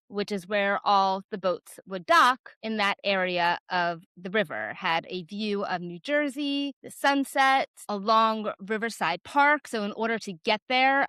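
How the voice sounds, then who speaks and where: A woman in her forties with a naturally loud voice, frankly telling a personal story on her vlog with lots of casual fillers. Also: on the high side, smooth, dry recording. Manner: chatty, frank, many "like" fillers. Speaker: vlogger.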